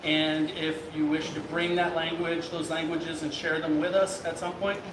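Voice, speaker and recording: A man with a notably high voice speaks as a new prince, friendly and encouraging. He is picked up from a distance in an echoing hall, with noise beneath him. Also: level tone, moderate pace. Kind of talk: ceremonial remarks and casual exchange.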